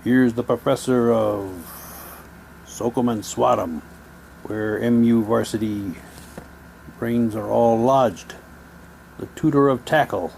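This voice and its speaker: A man's voice: medium pitch, slow, creaky, long pauses, a little mellow, slight background noise. A man casually reading printed material aloud, switching between theatrical delivery and muttered asides.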